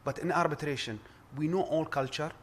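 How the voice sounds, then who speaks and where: A man's voice: fairly high pitch, brisk. Earnest Arabic-accented man speaking. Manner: earnest, repeats words and restarts. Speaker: conference speaker, arbitration lawyer. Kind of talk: formal address at a round table.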